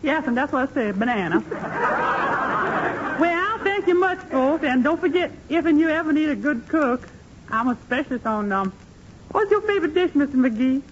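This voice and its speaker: A man playing a jolly housemaid in 1940s radio comedy, with a giggly falsetto and folksy, ungrammatical speech. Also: lively intonation, moderate pace. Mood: amused, giggly. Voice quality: high-pitched falsetto, laughing delivery. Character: jolly, giggly woman who works as a housemaid. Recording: noisy, muffled 1940s radio recording.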